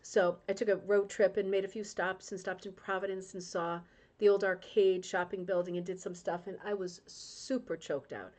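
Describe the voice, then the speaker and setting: A woman's voice: medium pitch, level tone, normal speed, darkish tone. A middle-aged woman from Rhode Island, hosting a crafting club livestream in casual, emotional chat and reading out viewer comments, with frequent tag questions. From Rhode Island, United States.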